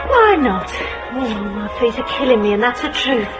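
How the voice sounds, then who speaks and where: An actress playing a sharp-tongued, quietly bitter British single woman in a radio drama, in dialogue and inner narration. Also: a little high, big pitch swings, moderate pace, music in the background. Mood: wistful, guarded.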